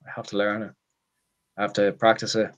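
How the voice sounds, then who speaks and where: A man's voice: fairly low pitch, monotone, a little fast. A man in his twenties with an Irish accent, talking casually as an interview guest, rambling and self-deprecating with lots of restarts and "I suppose". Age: 20s.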